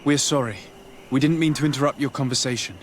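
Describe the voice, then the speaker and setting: An actor plays a courteous diplomat hero in a fantasy game. His voice is mid-pitched, calm and empathetic, over audible ambient noise. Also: fast, close to an everyday voice. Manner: courteous, even-tempered.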